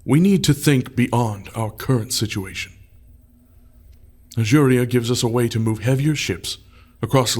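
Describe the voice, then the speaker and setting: A man's voice: medium pitch, darkish tone, close to an everyday voice. An actor playing a fleet designer who explains his plans in a plain, unrehearsed way. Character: male fleet designer presenting ship plans, measured. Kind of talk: audio drama acting.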